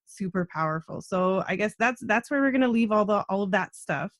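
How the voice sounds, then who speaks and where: A woman's voice: medium pitch, lively intonation, brisk, no echo. Effusive, chatty woman podcast host, dry sound. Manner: effusive, informal, sprinkles "like" and "super". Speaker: podcast host interviewing a guest. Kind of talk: casual, chatty interview.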